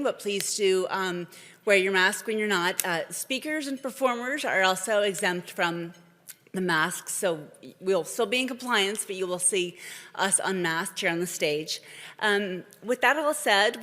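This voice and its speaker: A woman with a mid-pitched voice hosts a live event, delivering semi-scripted welcome remarks. Her delivery is halting and full of ums, and her voice rings through a large hall with long reverb. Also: a bit bright. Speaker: event host introducing speakers.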